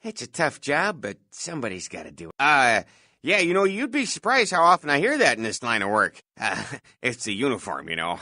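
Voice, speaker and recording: An actor playing a self-important, bumbling, folksy night-shift security guard in a video game, with officious, hesitant patter. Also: male voice, mid-range voice, huge pitch swings, brisk, bright, forceful tone, no room sound, full character voice. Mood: boastful, self-important. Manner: pompous, officious, hesitant filler-laden patter. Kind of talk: scripted video game voice lines.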